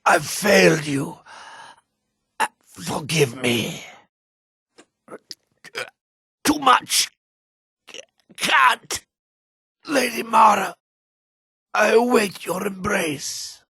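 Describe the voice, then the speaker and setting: An actor playing a wounded, desperate dark elf devotee in a fantasy video game, halting and gasping with a strained, raspy voice. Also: male voice, high voice, expressive, no room sound, studio quality, heavily acted. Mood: anguished, pleading.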